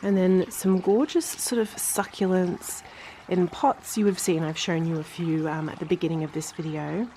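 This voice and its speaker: A woman's voice: lowish voice, steady tone, normal speed, dark tone, a little hiss or hum, poor audio. A woman with a slightly nasal, congested voice, getting over an illness, giving a chatty, casual garden tour as a vlog host. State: recovering from illness, sinusy. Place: outdoors in a garden.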